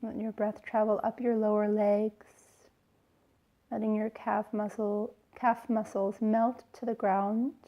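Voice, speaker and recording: A woman with a creaky, fry-tinged voice leads a guided relaxation as a yoga teacher. She speaks gently and soothingly, leaving space between phrases. The recording is a little dull and lo-fi. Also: medium pitch, flat delivery, a little slow. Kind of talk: slow guided relaxation instruction.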